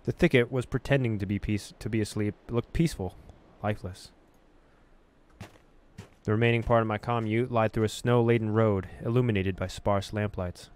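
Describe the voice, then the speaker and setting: Man, lowish voice, gaming host, expressive read-aloud of horror fiction, storyteller delivery. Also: moderate pace. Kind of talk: dramatic read-aloud of a horror visual novel with casual asides.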